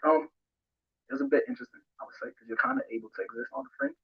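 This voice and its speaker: Dull lo-fi audio, gravelly male interviewee. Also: very high-pitched, wildly swooping pitch, racing. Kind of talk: candid interview answers about himself. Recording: dull lo-fi played-back audio.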